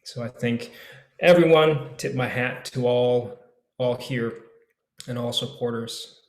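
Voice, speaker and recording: A man speaking casually on a panel in a halting, thoughtful manner, as an actor introducing himself. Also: medium pitch. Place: indoors on a video call. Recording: echoey room sound.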